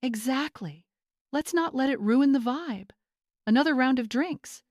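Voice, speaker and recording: A woman's voice: high-pitched, measured pace, no room sound, clean studio sound, voice pushed up. A woman voicing a scheming, imperious bride in an audiobook, theatrical and syrupy with a snappish edge. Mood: smug. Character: scheming social-climbing bride, fake-sweet and imperious.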